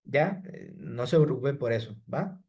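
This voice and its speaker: A man running a live online course, reading out students' chat questions and answering each one by name. His voice sits at an ordinary middle pitch, and he checks in after almost every sentence. He talks in a patient, repetitive way. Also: expressive, measured pace, darkish tone, breathy edge. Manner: repeats "¿sí?" and "estimados" after each point.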